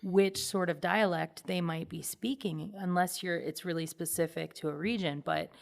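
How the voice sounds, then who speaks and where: A woman's voice: lowish voice, fairly even intonation, moderate pace, vocal fry. A woman hosting a conversational interview, agreeing in short affirmations and rephrasing points as she asks questions. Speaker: interview host.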